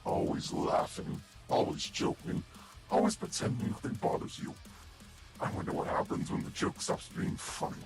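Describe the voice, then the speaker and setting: A man voicing a taunting monster villain for a game character reel, with a breathy, whispery rasp and a mocking, theatrical delivery. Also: close to an everyday voice. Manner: slow, deliberate, mocking, theatrical. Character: sinister taunting male monster villain, menacing, patient and mocking. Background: prominent music.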